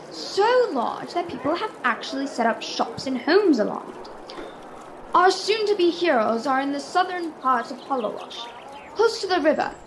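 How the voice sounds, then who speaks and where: A high-pitched, theatrical storyteller played by a young girl for a radio-serial fantasy adventure. The delivery is scripted and deliberate, with a kindly air. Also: slight background noise. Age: child, 10 to 13. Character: grand fantasy storyteller who also plays kindly tavern keeper.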